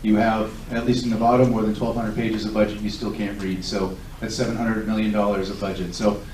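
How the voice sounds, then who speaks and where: A man in his forties speaks fast and earnestly, with a somewhat low voice. He is picked up from far off by a device in an echoey hall, with faint noise in the background. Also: little pitch movement, darkish tone. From Southern California. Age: 40s. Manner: fast, earnest, self-assured. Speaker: political candidate. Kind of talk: candidate forum speech and answers. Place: large echoey hall at a public event. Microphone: recorded from a distance by a tablet.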